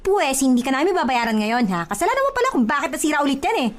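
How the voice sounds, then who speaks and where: High, animated, flirty Filipina housemaid played by a woman. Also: fast. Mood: annoyed. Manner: exaggerated, teasing, swinging between coy and scolding. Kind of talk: melodramatic Filipino radio-style comedy drama.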